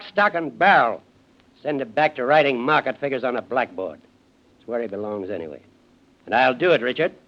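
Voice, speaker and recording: Stern, sardonic old patriarch played by an actor. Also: male voice, mid-range voice, close to an everyday voice. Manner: commanding, dry, biting sarcasm. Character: stern, sardonic wealthy old father, tyrannical and cutting. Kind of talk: 1940s radio drama acting. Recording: muffled old-time radio recording.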